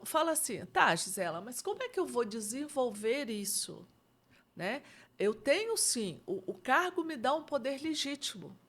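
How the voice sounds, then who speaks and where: Brazilian woman explaining leadership, lively. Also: sits high. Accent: Brazilian Portuguese. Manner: reflective, uses frequent "né?" and "entendeu?". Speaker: guest leadership specialist. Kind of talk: conversational explanation in an interview.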